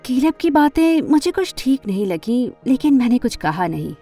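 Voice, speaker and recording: An earnest teenage girl narrating an animated drama. Her voice is high and soft-edged, with very lively swings in pitch. She is expressive and emotional, with music under the voice. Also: moderate pace, exaggerated voice. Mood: wistful narrating. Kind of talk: first-person animated story narration and dialogue.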